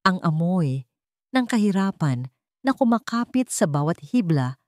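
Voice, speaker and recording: A woman tells a scripted romantic drama. Her voice sits a little low for a woman, and the sound is dry and close, with no room around it. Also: big pitch swings, unhurried, a little mellow, no room sound. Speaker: storyteller.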